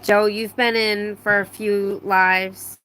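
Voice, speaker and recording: A woman's voice: mid-range voice, level tone, takes it slowly, a bit bright, slight background noise, poor audio. A middle-aged woman casually chatting on a live stream, reading out viewer comments with lots of fillers, her voice a little strained after laryngitis. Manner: chatty, rambling, many fillers and restarts. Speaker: livestream host.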